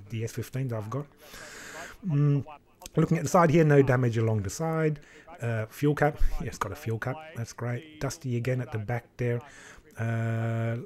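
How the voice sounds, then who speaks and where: Aussie bloke in his 30s rambling about cars, lots of uhs, small home room, desk mic. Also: mid-range voice. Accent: Australian. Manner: relaxed, chatty, rambling with many fillers. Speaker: car enthusiast streamer. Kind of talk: casual livestream commentary.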